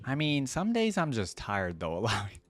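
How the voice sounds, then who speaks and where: A man's voice: medium pitch, huge pitch swings, moderate pace. A man hosting a reaction video, musing casually and repeating lines he hears in a laid-back manner. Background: faint music.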